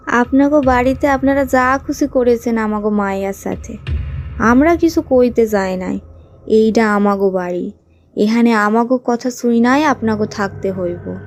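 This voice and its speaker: Over faint noise comes an eerie possessed village wife, acted by a woman in a rural Bengali dialect. She speaks very deliberately in a fairly high voice. Every drawn-out word is seductive and menacing, made for a horror cartoon. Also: a little mellow. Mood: cold, commanding. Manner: slow, drawn-out delivery.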